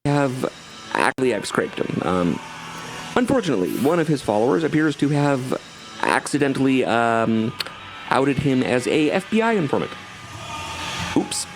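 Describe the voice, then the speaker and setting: A man with a gravelly voice and a lively range delivers mock-serious commentary. He is dry, sarcastic and hesitant, with faint music behind him. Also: medium pitch, moderate pace, pauses often.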